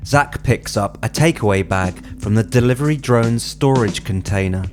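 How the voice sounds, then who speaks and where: A man's voice: lowish voice, moderate pace, a little mellow. A man reading screenplay stage directions as a scripted drama narration. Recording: music under the voice.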